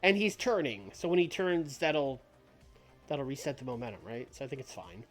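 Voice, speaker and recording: A man casually thinking out loud while streaming a simulation game, rambling, restarting his sentences and laughing at himself. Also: medium pitch, expressive, normal speed. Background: faint background music.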